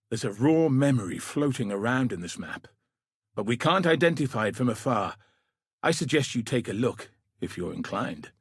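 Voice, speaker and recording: An actor playing a gruff, dutiful military commander in a video game, with a husky, rough-edged voice and a grave, dry, clipped delivery. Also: male voice, medium pitch, no room sound. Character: gruff, dutiful male military commander sending a warrior into danger. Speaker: video game character, quest giver. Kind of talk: scripted video game voice acting.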